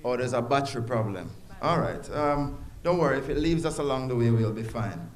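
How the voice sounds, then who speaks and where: A man's voice: medium pitch, expressive, brisk. A man with a Jamaican accent speaking at a community awards ceremony, chatty and informal, often breaking off to sing bits of old jingles. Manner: chatty, warm, breaks into song, restarts often. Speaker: guest speaker, executive director. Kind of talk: public speech at a ceremony.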